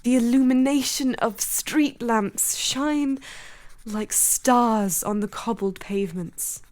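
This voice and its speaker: High-voiced, yearning young lady. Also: takes it slowly, a little mellow. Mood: wistful, reflective. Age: young adult. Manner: formal, wistful period diction. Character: sheltered young English lady, yearning and determined. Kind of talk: radio drama acting with diary narration.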